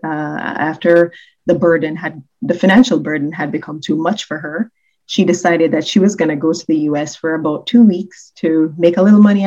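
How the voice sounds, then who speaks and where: A woman from Jamaica with a low, deep voice tells her story at a measured, earnest pace. She slows down on the points that matter. The recording sounds a bit dull and muffled. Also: a little mellow. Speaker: university executive, guest. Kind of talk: reflective storytelling in an interview.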